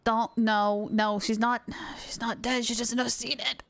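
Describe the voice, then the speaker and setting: A woman reacting casually during a live game playthrough, expressive and exclamatory. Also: on the high side, measured pace, gravelly. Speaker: game streamer.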